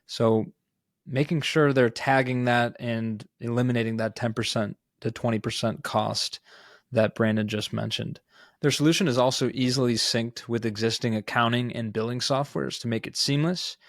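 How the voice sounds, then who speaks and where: A man's voice: medium pitch, steady tone, moderate pace, no room sound, studio quality. A man co-hosting a podcast, talking through business news in a casual, informal way. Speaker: podcast co-host. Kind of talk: conversational business news discussion.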